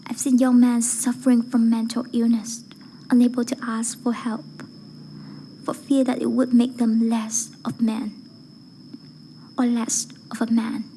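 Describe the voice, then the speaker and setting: A woman with a fairly high, very mellow voice recites a prepared speech. Her delivery is careful and earnest, with very little rise and fall. Faint noise sits behind her. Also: flat delivery, a little slow, low-quality recording. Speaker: voice acting learner reading a speech. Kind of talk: rehearsed public speech recitation.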